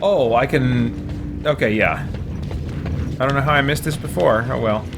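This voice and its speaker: A man's voice: medium pitch, measured pace, creaky. A man giving casual video game commentary as a let's play host, musing and thinking aloud as he goes. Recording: loud game music behind. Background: video game music.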